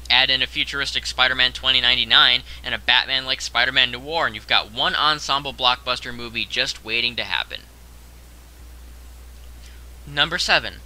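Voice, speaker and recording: A man's voice: medium pitch, pushed and bright, rough recording. A young man narrating a scripted top-ten countdown as host, in a conversational way that addresses the viewer directly.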